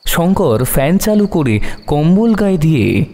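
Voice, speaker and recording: A man narrating a horror story dramatically, with suspense and long pauses. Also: a little high, big pitch swings, dark tone. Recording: long hall reverb over music.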